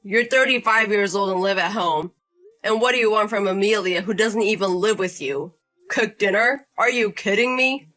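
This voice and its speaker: A woman's voice: mid-range voice, moderate pace, a bit bright, soft background music, lightly acted. A woman voicing a protective husband who firmly confronts his older brother, indignant and stern, in a voice-acted drama story.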